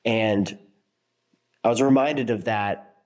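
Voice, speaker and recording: Young worship leader, sick and stuffed up, low voice, loose reflective vlog delivery. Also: male voice, steady tone, takes it slowly, a little mellow. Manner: rambling, many fillers and restarts. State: congested, sick.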